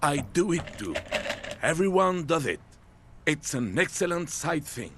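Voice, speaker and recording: An actor playing an easygoing, sardonic old man in a video game, with a wry, expressive delivery. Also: on the high side, unhurried, husky, exaggerated voice. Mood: reassuring.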